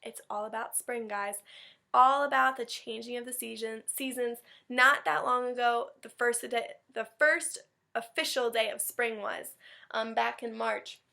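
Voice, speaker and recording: Woman, teacher, fairly high bright voice, chatty, animated, speaking to young kids. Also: a little slow, vocal fry. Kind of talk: chatty read-aloud for young children.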